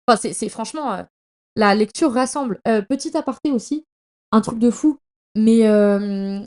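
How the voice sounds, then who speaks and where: A young woman chatting casually as a podcast host, in a slangy, effusive and emphatic way, often halting and stumbling over her words. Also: fairly high pitch, dark tone. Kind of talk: casual, chatty monologue about reading.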